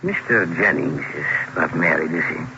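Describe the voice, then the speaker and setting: A man acting as a composed, probing doctor narrating a ghostly radio tale in a deliberate, formal way, on an old recording. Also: rumbling low, lo-fi sound, background noise. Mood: grave, measured. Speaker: narrator and lead actor.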